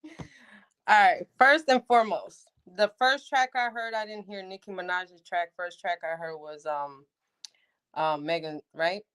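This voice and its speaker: A woman co-hosting a casual podcast, animated and building up outrage as she talks. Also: fairly low pitch, measured pace, clear, resonant tone. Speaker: podcast co-host. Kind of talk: casual chat.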